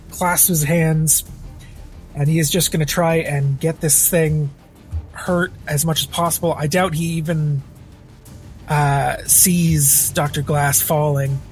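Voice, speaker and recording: A man playing a tabletop role-playing game, improvising his character's actions in the third person in a halting way. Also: fairly high pitch, darkish tone. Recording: background music under the voice.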